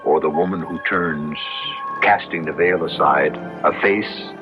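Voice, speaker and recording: An actor playing an ominous storyteller hosting a radio mystery, portentous and full of rhetorical weight. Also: male voice, very deep voice, measured pace. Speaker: host and narrator of a mystery radio series. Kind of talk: 1970s radio horror narration. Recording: dull old radio broadcast recording. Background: dramatic music.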